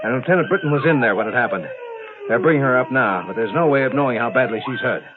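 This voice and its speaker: A man's voice: mid-range voice, animated, fast talker, lightly acted. An American man playing a worried, brusque but caring military doctor on a space station, in 1950s radio drama style. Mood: urgent, alarmed. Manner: exasperated, authoritative. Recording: muffled lo-fi 1950s radio recording.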